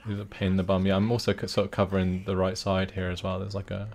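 A man with a lowish voice chats easily while playing a shooter with a friend. He is laid-back and laughs often. Faint gunfire runs behind him. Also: completely flat delivery, fast talker, creaky, darkish tone, slight background noise. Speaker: co-player. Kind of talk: casual gameplay chat.

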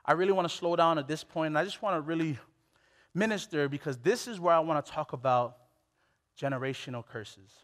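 A man in his late twenties from Hawaii, preaching a sermon on a church stage with a headset mic, animated and full of restarts. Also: on the high side, fairly quick. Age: late 20s. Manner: animated, exclamatory, with many restarts. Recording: long hall reverb.

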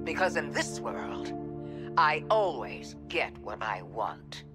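A domineering game commander who curses freely. Her delivery is stern and sardonic, with sharp swings in pitch. Clear music plays behind her. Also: big pitch swings, takes it slowly, full character voice. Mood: menacing. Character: ruthless, domineering, foul-mouthed female commander. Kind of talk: video game cutscene acting.

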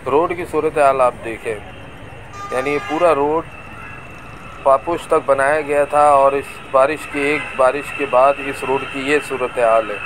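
Male vlogger, even and unhurried, recorded on a bike in a loud market street. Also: mid-range voice. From Pakistan. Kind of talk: street tour narration. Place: busy market street outdoors. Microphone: camera on a moving bike. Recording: noisy outdoor street recording. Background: traffic and crowd noise.

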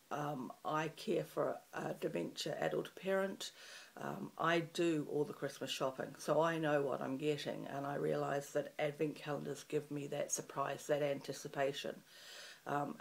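A middle-aged woman from New Zealand with a New Zealand accent and a croaky morning voice, chatting to a webcam in a small room, rambling with lots of ums. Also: sits low. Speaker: craft channel host. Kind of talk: casual chat to camera. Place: small room in front of a festive fabric backdrop.

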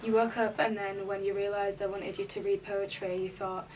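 A woman's voice: medium pitch, flat delivery, normal speed, darkish tone, distant mic, slight background noise, natural voice. An actress playing a guarded, hurt young woman, terse and restrained, in a small bedroom. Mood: reproachful. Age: young adult. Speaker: film actor. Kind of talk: naturalistic film dialogue.

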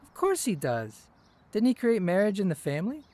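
Young husband, gentle, reassuring, protesting, lively intonation, radio drama. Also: male voice, sits high, normal speed. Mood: tender. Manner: coaxing, protesting.

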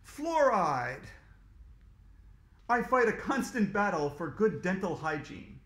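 Heroic female superhero, voiced by a man, grand declaiming manner, raised pitch, loud, echoey room. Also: sing-song, slow, heavily acted. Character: bold female tooth-care superhero, heroic.

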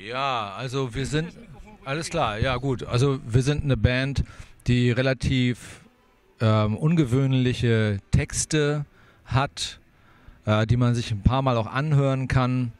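A man in his fifties from Hamburg, a band leader explaining his band in relaxed, rambling talk with many fillers, outdoors on a handheld mic. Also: mid-range voice, slow pace, dry recording. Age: 50s. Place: outdoor city square.

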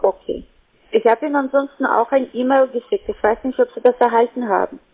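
Polite high-voiced woman on a phone line. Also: brisk, a little hiss or hum. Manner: polite, businesslike. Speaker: call centre sales agent. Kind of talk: scripted-sounding phone sales talk, polite. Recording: thin phone-line sound.

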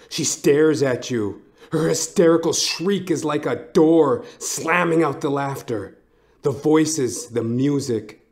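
Slow, theatrical horror narrator, a man. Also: on the high side, a little mellow. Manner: suspenseful, theatrical, short deliberate phrases. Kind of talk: dramatic second-person horror story narration. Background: music.

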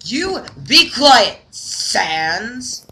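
Irritable cartoon cup hero acted by an amateur, whiny, bright tone, slow pace, animated intonation. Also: mid-range voice, full character voice. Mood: indignant.

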